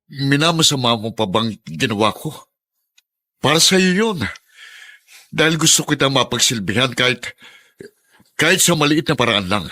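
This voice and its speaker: An actor playing an anguished, meek middle-aged ex-prisoner father in a Filipino radio drama, with a Filipino accent and a halting, stammering delivery. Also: mid-range voice, bright, forceful tone.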